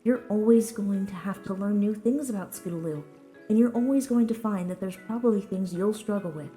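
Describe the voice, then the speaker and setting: A woman voicing a gentle, sensible female pony who reassures a friend in an earnest, measured, encouraging way in a story reading. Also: mid-range voice, faint music behind, natural voice. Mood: gently encouraging.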